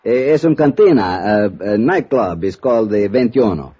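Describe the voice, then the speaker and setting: An actor playing a shrewd Mexican police captain who gropes for English words in a Mexican Spanish accent, in 1950s radio drama style. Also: male voice, lowish voice, expressive, dark tone, breathy edge. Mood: earnest, halting. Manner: halting, groping for English words. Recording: dull, noisy old radio recording with clicks.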